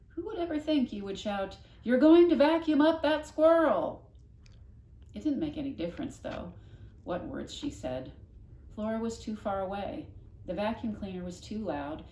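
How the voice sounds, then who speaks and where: A woman reading a children's book aloud, gently explaining things to young listeners between passages. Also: mid-range voice, lively intonation, normal speed, slight background noise. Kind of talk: read-aloud storytelling with casual asides. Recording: echoey room.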